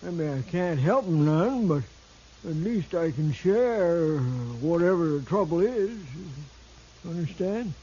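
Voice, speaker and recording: A shaky old American drunkard from a Western radio play. His voice sits high for a man and moves slowly, stumbling and rambling in a folksy drawl. The 1950s recording is dull and hissy. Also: animated, dark tone, husky, full character voice. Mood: friendly, hesitant. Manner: halting, stammering, folksy drawl. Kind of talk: 1950s radio drama acting.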